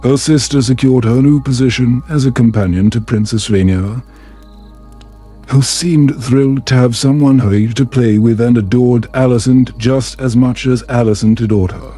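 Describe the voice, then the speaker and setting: A man narrating a story in a calm, soft, soothing and measured way, meant for bedtime. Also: mid-range voice, soft background music.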